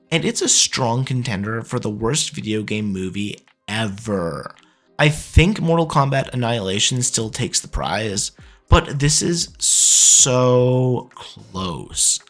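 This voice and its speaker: A man's voice: mid-range voice, creaky, a bit bright. A man giving opinionated, emphatic review commentary as a video host.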